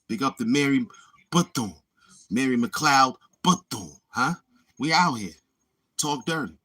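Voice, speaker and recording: Husky, swaggering trash-talking man. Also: medium pitch, animated, a little slow, pushed and bright. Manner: swaggering, taunting, repeats himself. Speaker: YouTube channel host. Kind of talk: boastful trash-talking livestream monologue.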